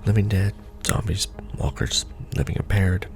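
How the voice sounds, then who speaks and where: A man playing a jaded secret-society official in an ASMR roleplay, briefing a recruit in a soft, deadpan, rambling, sardonic murmur. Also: deep voice, normal speed, vocal fry. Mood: rambling, wry. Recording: background music under the voice. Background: background music.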